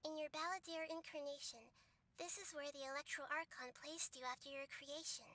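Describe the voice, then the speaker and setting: A woman voicing a wise, gentle young goddess in a game, soft-spoken and explaining patiently. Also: high voice, fairly even intonation, fairly quick. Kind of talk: game voice acting.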